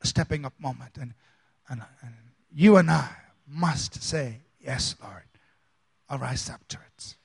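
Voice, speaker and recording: A man from India, a pastor preaching a sermon with dramatic swings between loud emphasis and soft asides. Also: mid-range voice, unhurried, creaky, pauses often, breathy edge.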